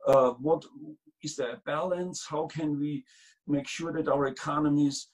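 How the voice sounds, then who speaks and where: A man's voice: on the high side, steady tone, measured pace, rough recording. A man from Austria speaking earnestly over a video call as a guest in a webinar interview, often restarting his sentences with fillers. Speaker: state trade director, guest speaker.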